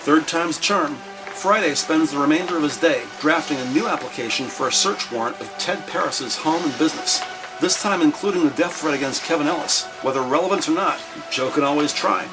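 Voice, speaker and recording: A man's voice: on the high side, a little fast, rough recording. A man reading scripted narration for a detective crime drama. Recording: music under the voice. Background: background music.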